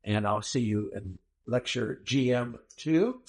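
A man giving a recorded Bible lecture in a measured, emphatic teaching manner with rhetorical questions, his voice slightly hoarse. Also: mid-range voice, animated, darkish tone. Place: small room, not a classroom.

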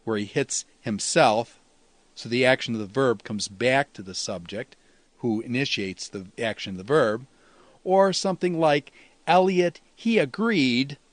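A man's voice: mid-range voice, lively intonation, a little slow, dry recording. Man teaching in a very dry recording. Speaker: teacher of New Testament Greek. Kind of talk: instructional lecture, explaining with examples.

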